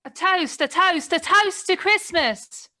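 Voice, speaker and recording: A woman playing an excitable young child in a radio play, squealing and eager. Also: extremely high pitch, slow, full character voice. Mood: cheering.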